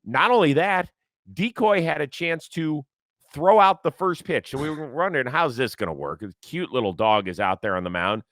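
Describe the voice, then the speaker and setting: A male sports show host with a bright, forceful voice that swings up and down a lot. His voice sounds a touch hoarse, as if he slept badly. The recording is close and dry, with no room behind it. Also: fairly high pitch, normal speed, no echo. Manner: lively, animated, reads ad copy with energy. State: a little hoarse from lack of sleep. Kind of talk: casual sports talk show.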